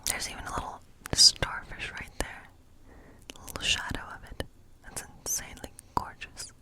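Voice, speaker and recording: A woman performing an ASMR role-play as a friendly woodland witch, reading tarot in a breathy, unhurried whisper with a musing, reassuring manner.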